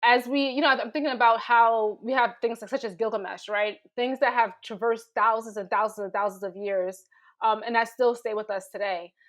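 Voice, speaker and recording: A woman teacher speaking casually and thoughtfully on a panel, with tentative, halting phrasing. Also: fairly high pitch, steady tone.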